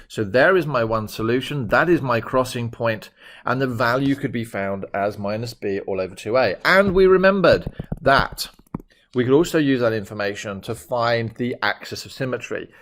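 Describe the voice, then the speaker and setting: A man's voice: mid-range voice, a bit bright. Chatty, emphatic male maths teacher. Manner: chatty, jokey, emphatic explaining. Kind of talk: recorded maths lesson.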